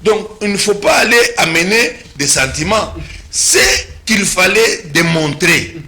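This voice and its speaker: Bright-voiced Congolese man explaining politics. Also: high voice, lively intonation. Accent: Congolese French. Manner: measured, explanatory, mixing French and Lingala. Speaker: political commentator. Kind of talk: studio political discussion.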